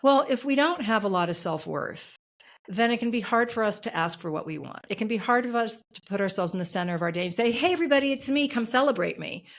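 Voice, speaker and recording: A woman's voice: on the high side, animated, fast talker. A middle-aged woman being interviewed as an author and coach, speaking earnestly and emphatically, repeating her key phrases. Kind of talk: podcast interview.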